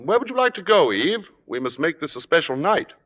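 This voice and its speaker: An actor playing a suave, worldly theater critic who speaks in urbane, deliberate, formal phrases in an old-time radio drama. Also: male voice, fairly high pitch, sing-song, fairly bright tone. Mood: probing, silky. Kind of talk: 1950s radio drama acting and narration. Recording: old radio broadcast, reverberant, music behind.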